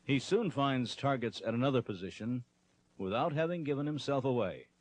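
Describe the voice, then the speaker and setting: A man's voice: medium pitch, lively intonation, normal speed, slight background noise. A man reading scripted, instructional narration for a training film.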